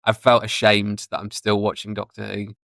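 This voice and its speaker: A man's voice: lowish voice, completely flat delivery, normal speed, gravelly, a bit bright, no echo, studio quality. A man in his thirties chatting casually and giving his opinions as a podcast guest. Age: 30s.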